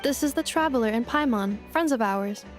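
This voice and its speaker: A courteous female robot, voiced by a woman for a video game. The voice is high and neatly precise, with a formal, even-tempered delivery over faint music. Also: a little fast, close to an everyday voice. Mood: calm, informative. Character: polite robotic female assistant, calm and precise. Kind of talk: video game character acting.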